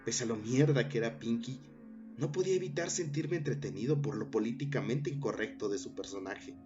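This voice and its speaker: A man narrating a scary story in a measured way, with dramatic pauses. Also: mid-range voice. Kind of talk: scripted horror story narration. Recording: dull lo-fi recording with music underneath.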